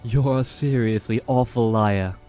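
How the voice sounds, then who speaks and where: A man plays a loyal fantasy lieutenant, a dragonrider with casual, laconic speech. He talks slowly at a middling pitch, with faint music underneath. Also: mellow, lightly acted. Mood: teasing concern. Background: background music.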